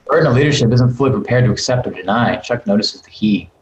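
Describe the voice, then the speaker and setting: A man's voice: mid-range voice, animated, fast, lo-fi sound. A man reading stage directions as scripted narration at a table read over a video call. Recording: roomy, echoing video call audio.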